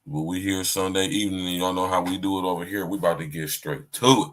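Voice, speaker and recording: A man's voice: low voice, fairly even intonation, fast talker. A man hosting his podcast, opening with loose, hype greetings full of slang. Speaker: podcast host. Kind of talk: casual podcast intro.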